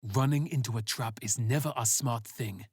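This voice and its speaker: A narrator voicing a grave, cautioning fighter in a sci-fi story, speaking in a measured, solemn way. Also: mid-range voice, darkish tone, husky, dry recording, clean studio sound, lightly acted.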